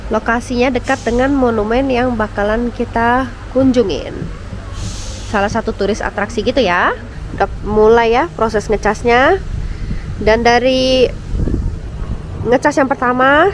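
A woman's voice: a little high, slight background noise, low-quality recording. A woman travel vlogger chatting casually and upbeat to her viewers, dropping English words into her speech. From Indonesia. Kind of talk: casual travel vlog narration.

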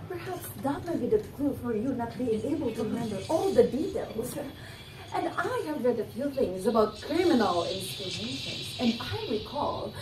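A young woman playing a cool, confident woman with a criminal secret on stage, speaking deliberately and emphatically. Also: on the high side, very animated, over music. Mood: cool skeptical. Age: young adult. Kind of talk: theatrical stage acting. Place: indoor living-room stage set. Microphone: far camera. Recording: echoey room, distant mic, background noise.

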